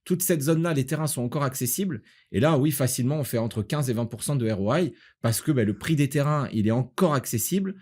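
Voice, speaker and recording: Man from southern France, medium pitch, podcast host, explanatory, casual, self-posed questions, real estate agent. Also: animated, fast, few pauses, darkish tone.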